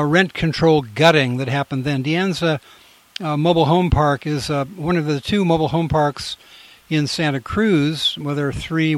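A male radio host talking loosely and informally, circling back and restarting his sentences as he goes. His voice sits a little high. The sound is dry and close, with no room around it. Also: no room sound. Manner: rambling, many fillers and restarts. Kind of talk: casual radio talk.

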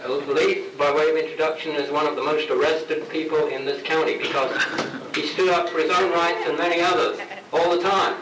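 A man introducing speakers at a rally, halting with restarts as he talks. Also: fairly high pitch, steady tone. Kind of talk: public address at a rally. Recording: echoey, distant, noisy outdoor recording.

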